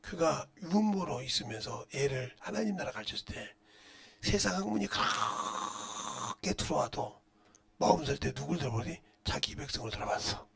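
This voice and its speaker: A middle-aged man preaching as a pastor in a blunt, scolding manner, firing rhetorical questions and swinging between muttered asides and loud outbursts. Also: lively intonation, moderate pace, creaky. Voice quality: loud, booming voice. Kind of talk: impassioned Korean-language sermon with rhetorical questions.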